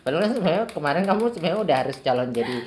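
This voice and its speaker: A woman's voice: low voice, lively intonation, very fast, darkish tone, a little hiss or hum. A woman from Papua, Indonesia, chatting casually in a joking, teasing way as a business owner being interviewed. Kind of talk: casual chat. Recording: echoey room.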